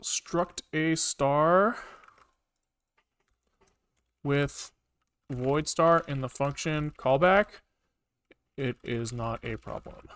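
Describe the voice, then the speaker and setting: Clear-voiced man muttering through live coding. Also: a little high, very slow, long pauses, a bit bright. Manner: rambling, muttering to himself, repeats words; casually profane. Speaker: programmer livestreaming coding. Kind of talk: casual think-aloud coding commentary.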